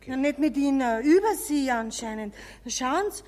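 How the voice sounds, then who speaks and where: A woman's voice: sits high, animated, normal speed, a little mellow. An actress playing a polite, cheerful young woman in a 1950s radio comedy. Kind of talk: 1950s radio play acting. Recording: reverberant 1950s radio play recording.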